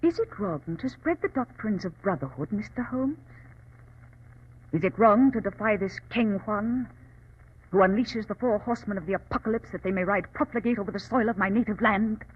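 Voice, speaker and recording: An actress playing a proud, impassioned Chinese woman revolutionary in an old radio drama, formal and imperious, with a husky voice. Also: mid-range voice, moderate pace, close to an everyday voice. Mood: impassioned, indignant. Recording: muffled old radio recording.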